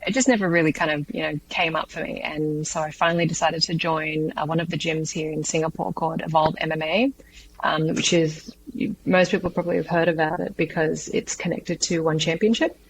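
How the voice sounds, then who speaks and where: A woman with an Australian accent answering interview questions, thoughtful, with many pauses and "um"s. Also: sits low, flat delivery, a little hiss or hum, rough recording. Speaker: guest, business founder.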